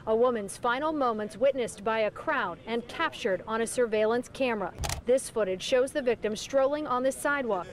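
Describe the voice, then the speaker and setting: A woman narrating a somber news report in a grave, measured way. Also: fairly high pitch.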